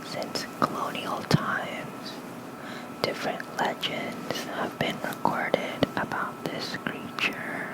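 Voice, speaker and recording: An ASMR host gently whispering mythology lore like a bedtime reading, close to a fluffy-covered microphone. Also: slow, poor audio.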